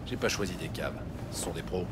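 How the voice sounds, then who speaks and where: Un acteur jouant un truand posé, voix grave. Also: male voice, fairly quick, natural voice. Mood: calm, confident. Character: cool, confident male criminal fixer. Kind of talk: French audio drama acting. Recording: vehicle rumble under the voice. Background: vehicle rumble.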